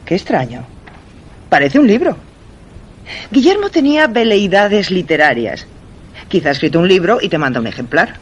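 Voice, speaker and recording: A secretive, teasing wife in a suspense radio play. Her Castilian Spanish is highly animated, rising and falling freely. She sounds evasive and theatrical. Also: moderate pace, long pauses, a little hiss or hum. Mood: puzzled. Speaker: radio drama actress. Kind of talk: suspense radio drama acting.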